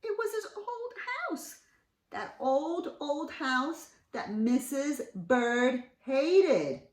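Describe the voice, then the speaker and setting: Very animated, slow-paced woman storyteller. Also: sits high. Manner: expressive, dramatic emphasis. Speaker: children's read-aloud host. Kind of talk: storytelling for young children with questions. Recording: roomy echo, distant mic.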